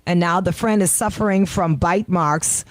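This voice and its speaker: A woman with a Bahamian accent hosting casual radio chat, animated, emphatic and colloquial, close on a studio microphone. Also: on the low side, normal speed, no echo. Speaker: radio host.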